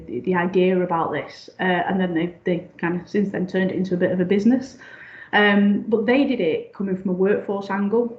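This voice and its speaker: A woman gives a seminar presentation over slides. She is croaky and talks haltingly, with many ums and restarts. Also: lowish voice. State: croaky, clearing her throat. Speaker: union staff side lead presenting a seminar. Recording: echoey, dull lo-fi sound.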